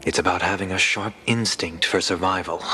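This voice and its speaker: An actor playing a calm, analytical male bodyguard in a game. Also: deep voice, fairly quick, a little hiss or hum, poor audio.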